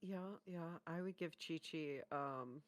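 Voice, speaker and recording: A woman's voice: deep voice, slow, slight background noise. A woman co-hosting a reaction show, chatting in a gushing, informal way. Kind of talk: casual chat, reacting and ranking. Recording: dry close voice, music behind.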